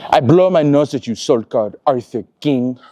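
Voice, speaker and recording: An actor playing an arrogant French castle guard with an exaggerated French accent, mocking and gleefully insulting. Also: male voice, mid-range voice, lively intonation, normal speed, mellow, lightly acted. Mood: taunting. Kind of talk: comic film scene.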